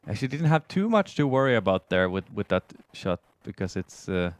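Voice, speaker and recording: A man's voice: lowish voice, big pitch swings, fairly quick, no echo. A man from Sweden with a Swedish accent gives conversational live sports commentary in English as his second language.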